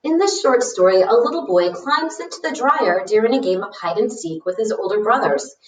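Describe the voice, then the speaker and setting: A woman's voice: mid-range voice, animated, normal speed, close to an everyday voice. A woman reading a storybook letter as a self-assured, chatty seventh-grade boy who talks frankly and boasts a little. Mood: boastful, chatty. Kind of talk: storybook read-aloud of a letter. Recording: roomy, echoey, distant mic.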